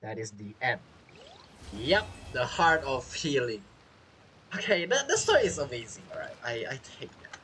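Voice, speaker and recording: A man's voice: medium pitch, sing-song, normal speed, pushed and bright, slight background noise. A man giving casual, chatty gameplay commentary, reacting informally as he plays. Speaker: game streamer. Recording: game music behind voice.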